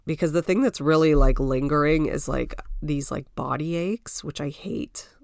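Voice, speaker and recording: A woman's voice: low-pitched, moderate pace, vocal fry, a little mellow, no room sound, clean studio sound. Stuffy-nosed woman chatting. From Canada. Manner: chatty and rambling, many "like" and "you know" fillers. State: congested and stuffy from a cold. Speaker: podcast host. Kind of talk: casual solo podcast chat. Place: at home.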